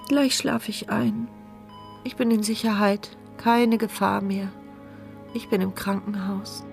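A woman's voice: mid-range voice, fairly even intonation, slow, gravelly, darkish tone, soft background music. An actress playing an injured woman who narrates her thoughts in a wry, wary inner monologue for a radio crime drama. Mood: drowsy, brooding. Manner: inward, sardonic monologue.

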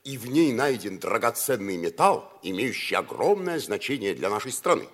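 Veteran Arctic hand, mature: weighty, earnest, husky, very expressive intonation, vintage radio-play declamation. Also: male voice, mid-range voice, normal speed. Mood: grave, explanatory. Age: middle-aged or older figure. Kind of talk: 1950s radio play acting.

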